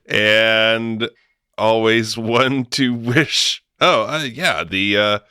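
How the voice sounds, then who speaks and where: A man's voice: lowish voice, very slow, sharp tone, smooth, dry recording, clean studio sound. A man hosting a comedy podcast, making playful, rambling introductions in a casual chatty style. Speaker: podcast host.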